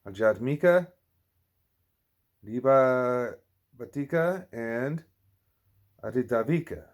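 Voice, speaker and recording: A man's voice: mid-range voice, animated, slow. A man reading a spiritual teaching aloud like an audiobook, occasionally stumbling and repeating words. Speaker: audiobook reader.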